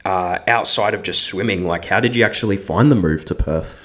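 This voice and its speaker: A young man hosting a podcast interview, friendly, with many fillers and quick agreement. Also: sits low, a bit bright. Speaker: podcast host. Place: small room with podcast setup. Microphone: desk mic on stand.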